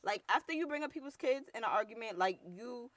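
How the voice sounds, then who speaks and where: A young woman from New York with a deep, rough voice and a New York accent, hosting her own show with blunt, slangy, heated talk. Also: lively intonation, fairly quick, dry recording. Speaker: podcast host. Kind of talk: casual solo commentary.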